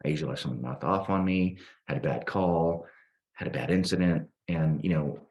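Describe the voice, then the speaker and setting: A man hosting a conversational podcast interview as a police officer, speaking in a measured, hesitant way. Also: rumbling low, darkish tone.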